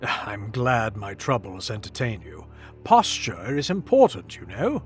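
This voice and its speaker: A man playing a composed older gentleman explorer in an audio drama, polite and dry. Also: lowish voice, huge pitch swings, a little slow, soft background music. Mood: dryly amused.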